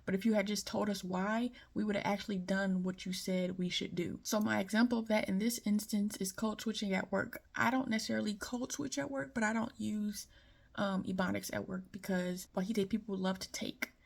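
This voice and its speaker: A woman from Detroit with a light Southern accent speaks in a casual, opinionated monologue. Her delivery stays fairly level in pitch, with a gravelly fry under many words. She sounds frank and conversational. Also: steady tone, fairly quick. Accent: light Southern American. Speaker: commentator.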